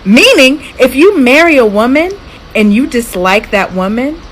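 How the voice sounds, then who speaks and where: An American woman talking casually to camera as a content creator, with an expressive, emphatic delivery. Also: sits high, normal speed. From United States. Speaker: social media content creator. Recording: clearly noisy clip with room ambience.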